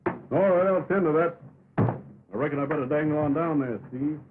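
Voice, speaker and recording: A man playing a steady, laconic cowboy foreman in an early talkie Western, with an American accent and a terse drawl. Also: a little high, normal speed, lightly acted. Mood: businesslike. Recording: tinny, noisy 1929 film soundtrack.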